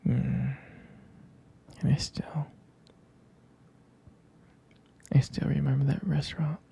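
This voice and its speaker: A man playing a possessive, tender young boyfriend in an intimate ASMR roleplay, whispering breathily in a halting, softly pleading way. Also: on the high side, full character voice. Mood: tender, nostalgic. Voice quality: breathy, husky whisper with gravelly creak. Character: possessive, tender, jealous young boyfriend comforting his sweetheart.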